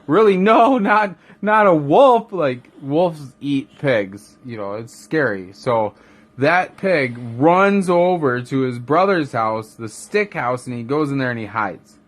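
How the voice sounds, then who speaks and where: A man's voice: fairly high pitch, animated, slow. A man improvising a bedtime story as a father, casual and rambling, with jokey asides.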